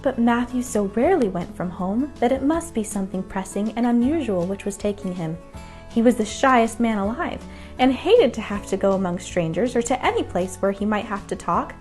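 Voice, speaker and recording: A woman reads a children's bedtime story as narrator. Her voice is on the high side, and music plays behind the reading. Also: lively intonation, moderate pace, a little mellow.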